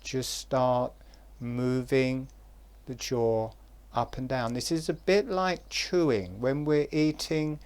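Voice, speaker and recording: A man giving guided relaxation instructions in a soft, calm voice, with long pauses between phrases. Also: medium pitch, clear, resonant tone.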